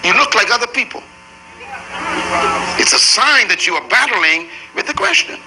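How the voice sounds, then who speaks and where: Preacher guy going hard at a crowd, swoopy high voice, noisy live tape. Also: lively intonation, moderate pace. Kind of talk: sermon. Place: large room with an audience.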